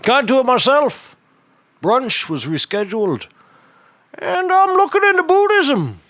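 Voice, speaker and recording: An actor playing an eccentric, deadpan office hand in a comedy audio drama, telling things in a folksy, drawn-out way. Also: male voice, very high voice, dry recording, exaggerated voice.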